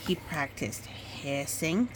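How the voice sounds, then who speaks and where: A woman reading a picture book aloud in storytime style, with expressive, drawn-out dramatic phrasing and chatty asides. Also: low-pitched, noisy background.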